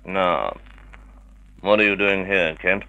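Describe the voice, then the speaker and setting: Jealous sardonic rival, low and forceful, smooth menace, vintage radio drama. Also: male voice, slow, dull audio, full character voice. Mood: curt, suspicious. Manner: forceful, sardonic, falsely jovial. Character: jealous, menacing man hiding behind smooth sociability.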